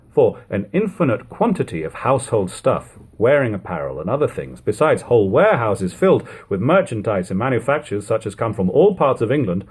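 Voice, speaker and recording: Husky-voiced man narrating, lively intonation. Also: mid-range voice. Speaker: audiobook reader. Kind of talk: scripted literary narration, reading aloud. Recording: lo-fi home recording.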